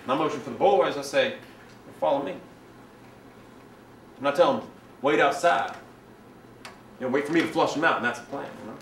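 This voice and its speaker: A man performing a dramatic monologue as a self-righteous, resentful young man, telling his story in a halting, colloquial, confiding way. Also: mid-range voice, creaky, lightly acted. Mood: indignant. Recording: echoey room, distant mic, faint noise.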